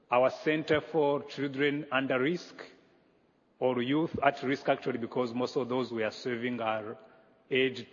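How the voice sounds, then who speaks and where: A man from Rwanda preaching as a guest pastor, earnest and measured with pauses, in a church sanctuary. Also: medium pitch, husky. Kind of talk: sermon. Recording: echoey hall, dull lo-fi sound.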